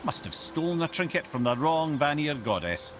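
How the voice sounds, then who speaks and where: A man's voice: on the high side, big pitch swings, moderate pace, background noise. An actor playing a witty, wry old Scottish counselor in a video game, eloquent and theatrical in his storytelling. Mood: wry, grumbling. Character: witty, wry old counselor, a well-travelled talking head. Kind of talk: video game character dialogue.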